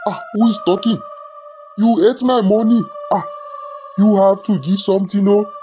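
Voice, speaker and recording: Through a thin, radio-like channel with loud music comes a man acting a menacing shrine priest. He has a high voice and a Nigerian accent. He gives orders insistently, in the style of a melodramatic film. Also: fairly even intonation, normal speed, lightly acted. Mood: threatening. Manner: commanding, insistent, ends phrases on drawn exclamations. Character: menacing, demanding male shrine priest of a money ritual. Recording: muffled radio-like band-limited sound under loud music.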